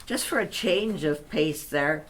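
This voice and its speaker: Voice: mid-range voice, expressive, measured pace, fairly bright tone. An interviewer prompting an older guest with questions and short acknowledgements. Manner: brief encouraging prompts. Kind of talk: oral history interview.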